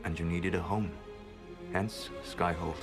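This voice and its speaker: A man acting in a video game as an ancient elven mage, calm, resolute and sorrowful, speaking in a measured, formal way. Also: low-pitched, over music. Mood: grave, explanatory.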